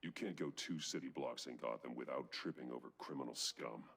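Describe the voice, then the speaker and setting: Gravelly, very deep masked vigilante. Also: male voice, a little fast, a bit bright, heavily acted. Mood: grim, curt. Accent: American. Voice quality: gravelly, husky growl. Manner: terse, grim, commanding. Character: brooding masked vigilante, terse grown man. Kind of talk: video game voice acting.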